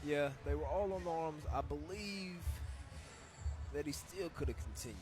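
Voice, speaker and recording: A man gives ringside analysis of a kickboxing bout in a casual, opinionated way. His voice sits a little high, and he often chimes in to agree with his partner. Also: normal speed, darkish tone. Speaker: fight analyst. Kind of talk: live ringside commentary.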